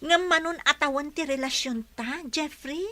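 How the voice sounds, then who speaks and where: Sharp, high-pitched jealous Ilocano fiancée. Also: female voice, normal speed. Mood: indignant. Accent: Filipino, Ilocano. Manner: interrogating, imperious. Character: suspicious, demanding employer and fiancée.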